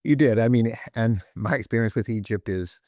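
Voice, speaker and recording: A man's voice: fairly low pitch, moderate pace, warm tone, dry recording. A man hosting a podcast, asking probing, rhetorical questions of his guest. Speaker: podcast host. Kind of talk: interviewer questions.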